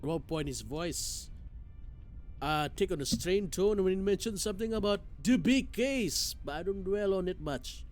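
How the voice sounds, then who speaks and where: A man's voice: high-pitched, expressive, moderate pace, darkish tone, soft background music. A man streaming a game, reading its narration aloud expressively with occasional stumbles and side comments.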